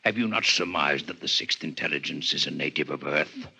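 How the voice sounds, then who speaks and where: An actor playing a grave, coldly intellectual alien physician in a 1950s radio drama, speaking in formal, measured lectures with a husky voice. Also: male voice, rumbling low, pushed and bright, exaggerated voice. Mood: grave, lecturing. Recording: 1950s radio broadcast recording.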